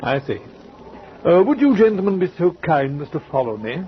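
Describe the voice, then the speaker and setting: Courteous, lively-voiced sea captain. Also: male voice, fairly high pitch, normal speed. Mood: composed. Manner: formal, courteous, matter-of-fact with small hesitations. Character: polite, composed male sea captain. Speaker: ship's captain. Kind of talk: 1940s radio acting. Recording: dull old radio recording with clear hiss.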